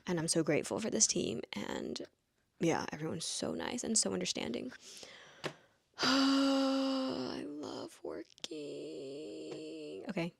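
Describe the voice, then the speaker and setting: A woman's voice: lowish voice, sing-song, takes it slowly, creaky, breathy edge, no room sound. A woman in her late twenties musing aloud in a casual vlog, rambling with lots of "like" fillers. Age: late 20s. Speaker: vlogger. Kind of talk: reflective casual monologue.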